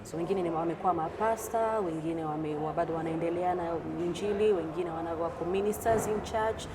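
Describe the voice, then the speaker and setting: A middle-aged woman from the Democratic Republic of the Congo speaks as an interview guest. Her voice sits on the low side, and she moves between languages in a conversational way. Clear background noise runs behind her. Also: brisk. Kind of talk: conversational interview, code-switching. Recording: clearly noisy background ambience.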